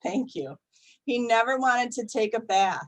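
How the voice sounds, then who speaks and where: Woman reading a kids book out loud, real slow, kinda high voice, soft storybook rhythm. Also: animated, rough recording. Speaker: mother reading a storybook aloud to a child. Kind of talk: read-aloud children's story.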